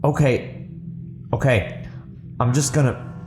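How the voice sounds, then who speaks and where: A man's voice: mid-range voice, slow pace, darkish tone, faint music behind, natural voice. Man voicing an uneasy, hesitant gang officer. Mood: uneasy, placating. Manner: hesitant, placating. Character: uneasy male gang officer seeking information. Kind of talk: audio book dialogue.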